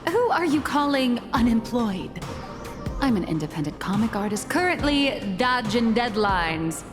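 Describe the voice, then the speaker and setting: Wry comic artist, hall reverb. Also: female voice, on the high side, big pitch swings, measured pace. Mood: indignant. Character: dry, indignant young female comic artist. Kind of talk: video game voice acting. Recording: long hall reverb, music behind.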